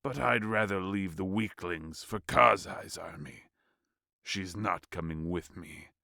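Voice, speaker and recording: Casting: male actor for cold, contemptuous king, low creaky voice with a hard edge, unhurried, audio drama villain. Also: sharp tone, dry recording, studio quality, exaggerated voice. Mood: cold, commanding. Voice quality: gravelly. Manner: slow, disdainful. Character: arrogant, cruel warlord king, cold and contemptuous. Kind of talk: audio play acting.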